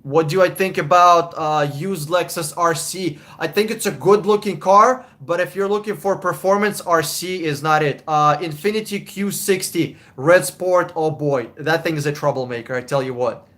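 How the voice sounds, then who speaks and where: Young man, slightly high voice; casual, blunt live-stream car advisor. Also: moderate pace, a bit bright. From Russia. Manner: casual, blunt, swears, chuckles often. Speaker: car buying advisor, livestream host.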